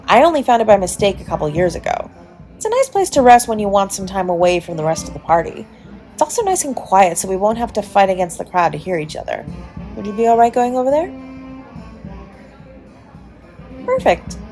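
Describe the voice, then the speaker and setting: A woman's voice: medium pitch, sing-song, fast, darkish tone. Woman playing a playful, flirtatious charmer, music behind. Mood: warm, inviting. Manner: teasing, coy, chatty, mock-apologetic. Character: charming, playfully flirtatious adult party guest, smooth-talking stranger. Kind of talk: romantic audio roleplay, intimate one-sided dialogue to the listener.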